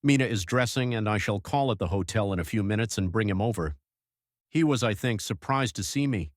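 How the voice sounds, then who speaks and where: A man acts out an earnest young husband narrating his journal for an audiobook. His voice is on the low side and his delivery is measured and literary. The recording is very dry. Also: lively intonation, dry recording, lightly acted. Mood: warm recounting.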